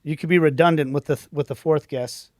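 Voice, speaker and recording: A man hosting a podcast, running a casual sports trivia quiz and introducing a guest in a quick, upbeat way. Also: on the high side, steady tone, dry recording, studio quality. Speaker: podcast host.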